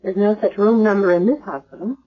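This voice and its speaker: Actress doing caring but wry lady doctor, composed and brisk, 70s radio play, lo-fi sound. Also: mid-range voice, lightly acted. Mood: calm concern. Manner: brisk, reassuring professional questioning. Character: composed, caring, wryly flirtatious woman hospital doctor. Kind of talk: 1970s radio drama acting. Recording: dull lo-fi old radio broadcast.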